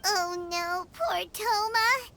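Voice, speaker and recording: An actress voicing a tiny, excitable, childlike female companion in a video game, sweet and expressive. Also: very high-pitched, very slow, a little hiss or hum, exaggerated voice. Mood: pitying. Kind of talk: scripted video game voice acting. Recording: faint music under the voice.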